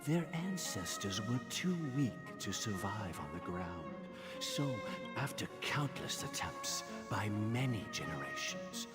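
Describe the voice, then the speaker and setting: Game role: gentle whimsical guardian, animated pitch, loud music bed. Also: male voice, a little slow. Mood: musing, approving. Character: kindly, whimsical adult guardian talking to children. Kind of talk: video game voice acting.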